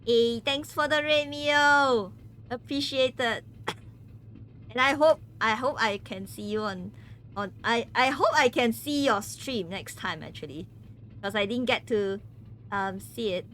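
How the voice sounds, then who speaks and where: Woman streamer, bright higher voice, giggly and stumbling, casual chat. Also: measured pace, slight background noise. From Malaysia. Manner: giggly, stumbles and repeats words. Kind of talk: casual chat with viewers during a game stream.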